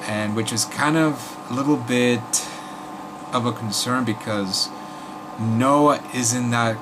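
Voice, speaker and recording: A man with a raspy voice from a sore throat, chatting casually to viewers and rambling with many fillers. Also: medium pitch, takes it slowly, background noise. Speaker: YouTube host. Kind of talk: casual vlog commentary.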